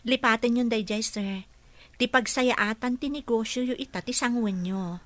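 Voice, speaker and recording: Woman acting a bright, high-voiced dutiful caregiver. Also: moderate pace, no room sound, natural voice. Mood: modest, gentle. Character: dutiful, respectful female caregiver to her boss. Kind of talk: radio drama acting.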